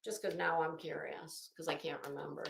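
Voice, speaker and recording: A woman's voice: low voice, steady tone, moderate pace, creaky, slight background noise. A woman giving casual, opinionated, rambling commentary as an online host, gossipy and emphatic, stretching out the words she stresses. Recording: roomy, echoing room sound.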